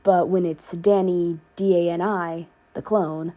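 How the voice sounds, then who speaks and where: A woman's voice: lowish voice, fairly even intonation, measured pace, warm tone. A woman reading fan fiction aloud as an amateur narrator. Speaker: podfic reader and narrator.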